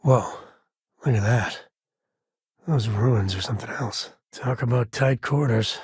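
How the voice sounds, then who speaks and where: Very husky male voice, good-hearted space explorer dad, folksy, earnest, video game dialogue, dry close recording. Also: medium pitch, a little slow, darkish tone, no room sound. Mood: easygoing. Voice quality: husky, gravelly rasp. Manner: folksy, colloquial, earnest delivery.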